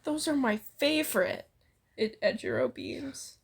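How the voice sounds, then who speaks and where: A woman's voice: high-pitched, wildly swooping pitch, a little slow, gravelly, husky. A young woman casually reading fan fiction aloud, playfully acting out the dialogue and bantering. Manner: playful, acts out dialogue with exclamations. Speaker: amateur fan fiction reader and co-host.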